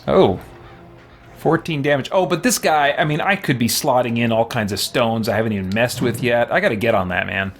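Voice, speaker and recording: A man's voice: medium pitch, brisk. A man giving casual gameplay commentary as he plays, musing aloud. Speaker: gamer, let's play host.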